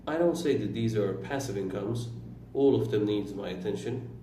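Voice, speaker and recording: A man in his twenties whose first language is Turkish, talking slowly about his own life in a halting way, pausing and correcting himself. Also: lowish voice, dark tone. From Iran. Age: 20s. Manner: slow, halting, with pauses and self-corrections. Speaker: vlogger. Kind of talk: reflective personal monologue. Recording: roomy, distant mic with faint room noise.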